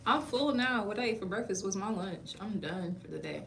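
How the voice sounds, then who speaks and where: A woman in her thirties talking casually to her livestream viewers, playful and chatty, calling them baby and laughing often. Her voice sits at an ordinary middle pitch. A faint hum of noise runs underneath. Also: lively intonation, fairly quick, creaky, a bit bright. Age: 30s. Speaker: beauty school staff and livestream host.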